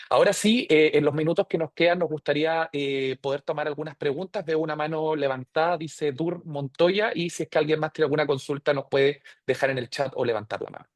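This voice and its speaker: A man with a medium-pitched voice hosts a webinar. He sums up and moderates in an orderly way, recorded dry with little room sound. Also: no room sound. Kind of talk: organized moderating and summarizing.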